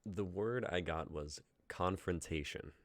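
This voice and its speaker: A young man with a very low voice speaks from a home mic with a dry, close sound. He is laid-back and laughs easily. When he talks about his own writing, he turns reflective. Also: unhurried, dry recording. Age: young adult. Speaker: host and stage-direction reader. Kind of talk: casual chat and script narration.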